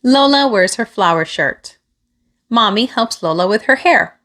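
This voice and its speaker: A woman reading a children's picture book aloud, with expressive, deliberate phrasing aimed at young listeners. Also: a little high. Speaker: children's storybook reader.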